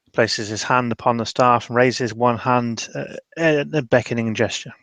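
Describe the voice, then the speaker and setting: A man improvising descriptive narration as a player in a roleplaying game, in a halting, deliberate way. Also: mid-range voice, steady tone, a bit bright, no room sound.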